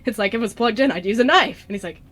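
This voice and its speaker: A young woman hosting a casual radio chat, giggly and animated, often acting out bits of dialogue. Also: fairly high pitch, racing. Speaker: radio show host.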